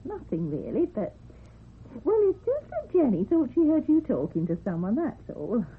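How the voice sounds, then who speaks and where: A woman's voice: high voice, huge pitch swings, normal speed, breathy edge, full character voice. An actress playing a nosy, chatty landlady with a British accent and a sing-song, prying manner, in radio drama style. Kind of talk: old-time radio drama acting. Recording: dull lo-fi old radio recording, hiss.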